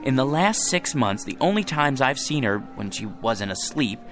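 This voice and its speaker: A meek sad-sack husband, lively pitch, music behind. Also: male voice, normal speed. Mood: plaintive. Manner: timid, plaintive, self-pitying. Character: meek, lovesick husband of a star. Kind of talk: radio drama acting.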